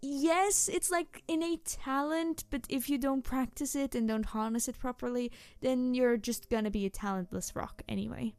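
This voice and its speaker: A mischievous tanuki spirit girl, acted by a woman in a roleplay audio drama, with a fairly high voice recorded very dry and close. She teases and rambles excitably, hesitating and backtracking like a trickster who cannot stand silence. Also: normal speed, hardly any pauses, clear, resonant tone, no room sound. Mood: sheepish, wistful. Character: playful, mischievous young-sounding tanuki trickster spirit girl, over two hundred.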